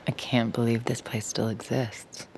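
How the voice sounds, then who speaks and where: An actress playing a troubled, secretive woman in an audio drama, confiding and restless, with a low, creaky voice. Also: little pitch movement, unhurried, a little mellow, in a whisper, poor audio. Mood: anxious, confiding. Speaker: lead actor and first-person narrator. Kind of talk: audio drama acting with inner monologue.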